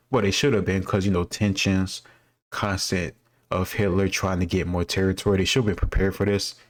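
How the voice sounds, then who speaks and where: A man speaking informally and off the cuff, rambling and thinking aloud as he comments on quiz questions. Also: sits low. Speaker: host reacting to history quiz questions.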